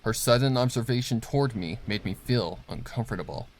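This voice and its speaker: A man's voice: medium pitch, brisk, a little hiss or hum. A man reading fan fiction as a scripted, dramatic narration with a grave, suspenseful delivery.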